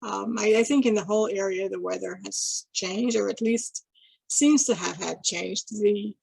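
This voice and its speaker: A middle-aged woman from Guyana talks slowly through her thoughts, pausing and restarting often. Her voice is husky with a gravelly edge, and it is recorded close and dry. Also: mid-range voice, darkish tone, no room sound, poor audio. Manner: thoughtful, halting, many restarts and fillers. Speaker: interviewee. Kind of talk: casual reflective talk.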